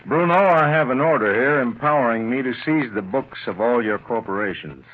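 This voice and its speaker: An actor playing a polite, businesslike government agent with a formal, official manner in an old-time radio crime drama. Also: male voice, mid-range voice, expressive, brisk, natural voice. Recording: muffled, faintly noisy old radio recording.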